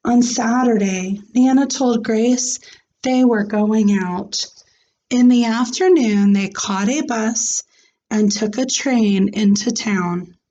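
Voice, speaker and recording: A woman reading a children's storybook aloud in a deliberate, expressive way, like a teacher with a class. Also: a little high, lo-fi sound.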